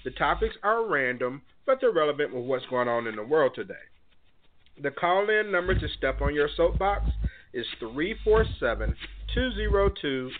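A man's voice: on the high side, sing-song, a little hiss or hum. Stuffy-nosed man hosting over a phone line. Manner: measured, earnest, with fillers. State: slightly stuffed up from a cold. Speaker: radio show host. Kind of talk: call-in radio show monologue. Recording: tinny phone-line sound.

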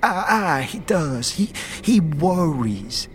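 A man's voice: fairly high pitch, very animated, a little hiss or hum. A man playing a timid, muddled scavenger in a fantasy audio drama, stammering heavily and repeating his words. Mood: anxious, wistful. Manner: heavy stammer, halting, repeats words, third person. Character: timid, muddled male beggar who scavenges the dead.

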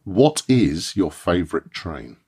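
A man's voice: low-pitched, big pitch swings, slow, darkish tone, breathy edge. A man hosting a podcast, putting questions to a guest in a conversational interview style.

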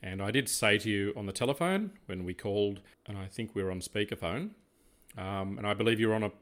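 An older Australian man co-hosting a casual podcast, talking in a halting, reflective way. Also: lowish voice, fairly bright tone. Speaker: podcast co-host. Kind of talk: casual chat.

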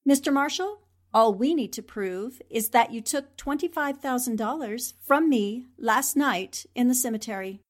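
A woman acting a sharp, confident newspaperwoman in 1940s radio drama style, crisp and confrontational. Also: on the high side, animated, normal speed. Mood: confident, pointed.

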